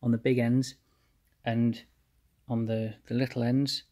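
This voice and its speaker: A man calmly explaining his engine rebuild step by step while he works at a cluttered workshop bench. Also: medium pitch. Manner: methodical, plain explanatory, pauses mid-phrase. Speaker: home mechanic rebuilding an engine. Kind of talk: hands-on workshop walkthrough.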